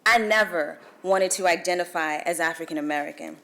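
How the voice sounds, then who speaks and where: A young woman from Guyana with a Guyanese accent, delivering a formal speech at a celebration breakfast in measured, emphatic oratory with dramatic pauses. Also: lowish voice, gravelly, a bit bright. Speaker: featured speaker. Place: event hall.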